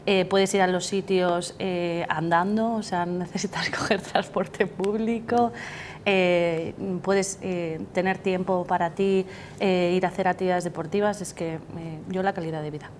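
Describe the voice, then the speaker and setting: A woman with a slightly low voice speaks on a studio debate panel, pausing often on fillers. A faint hiss sits under her voice. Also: fairly even intonation. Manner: hesitant, many fillers. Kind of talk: casual debate talk.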